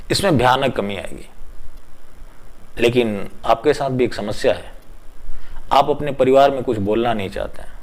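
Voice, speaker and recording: A male commentator with a mid-pitched voice addresses viewers directly on political matters. He speaks emphatically and accusingly, often posing rhetorical questions to press his point. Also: darkish tone, rough recording. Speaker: online commentator.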